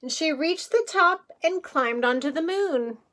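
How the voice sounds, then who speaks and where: A woman's voice: high-pitched, lively intonation, unhurried, a bit bright. A woman teacher reading a picture book aloud to young children in a playful way, with chatty asides and questions.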